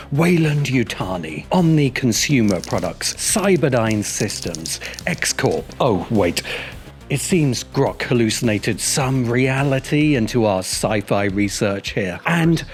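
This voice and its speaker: A man's voice: medium pitch, animated, measured pace, soft background music. A man narrating a scripted video essay with sardonic, theatrical emphasis.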